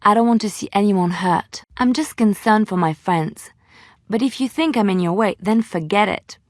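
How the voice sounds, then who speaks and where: A woman's voice: medium pitch, moderate pace, no room sound, clean studio sound, close to an everyday voice. A woman playing an earnest, tender-hearted young adventurer in a fantasy video game, speaking hesitantly and sincerely. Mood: concerned, defensive. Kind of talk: dramatic video game dialogue.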